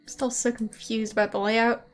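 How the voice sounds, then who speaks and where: A woman's voice: a little high, little pitch movement, normal speed, soft background music. A woman whose first language is German, chatting casually with viewers while she streams, hesitant and full of fillers and small laughs.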